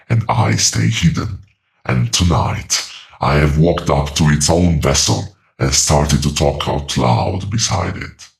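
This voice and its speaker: A man playing an ancient, weary demonic entity in a horror audio drama, gravelly and solemn, speaking in deliberate, ornate phrases. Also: exaggerated voice. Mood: grave, foreboding. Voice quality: very deep, gravelly. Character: ancient two-thousand-year-old demonic entity in a borrowed mouth, weary, grave.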